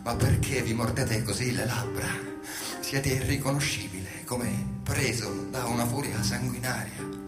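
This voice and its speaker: A frightened, innocent wife, acted by a man in a stage tragedy, pleading with repeated phrases. The voice echoes heavily over loud music. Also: mid-range voice, a little slow, close to an everyday voice. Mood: anxious.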